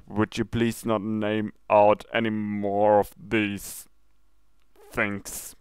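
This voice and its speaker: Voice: medium pitch, little pitch movement, extremely slow, a little mellow, clear, resonant tone, dry recording, studio quality. A reader playing a queasy, subdued pony guard commander. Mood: queasy pleading. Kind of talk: fan fiction comedy reading.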